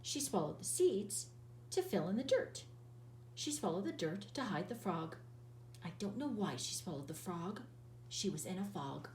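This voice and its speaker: A woman's voice: lowish voice, slight background noise. Woman teacher, children's read-aloud, bouncy sing-song voice, roomy echo, a bit far from the mic. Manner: lively, sing-song storytelling with drawn-out words.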